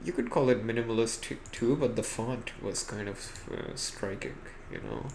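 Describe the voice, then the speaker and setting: A man's voice: on the low side, moderate pace, vocal fry, a little mellow, slight background noise. A man co-hosting a podcast, reacting in casual, wry, slangy banter with some swearing. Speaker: podcast co-host.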